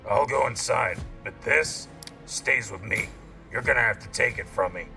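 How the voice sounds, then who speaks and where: Gravelly, world-weary soldier. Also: male voice, medium pitch, normal speed, bright, forceful tone, reverberant, recorded from a distance, soft background music. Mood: defiant. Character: defiant, world-weary male soldier, sardonic and stubborn. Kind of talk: sci-fi military audio drama acting.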